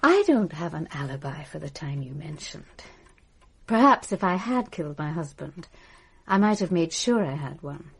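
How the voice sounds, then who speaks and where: An actress in a radio drama playing a scornful, bitter estranged wife with a caustic, haughty manner. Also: female voice, low voice, expressive, moderate pace, gravelly, husky, heavily acted. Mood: sardonic, evasive.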